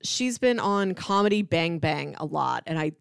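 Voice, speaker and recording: A woman's voice: on the low side, animated, moderate pace, gravelly, clear, resonant tone, dry recording. Woman podcasting in a dry, close sound. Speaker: podcast co-host. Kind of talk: casual chat, pitching shows.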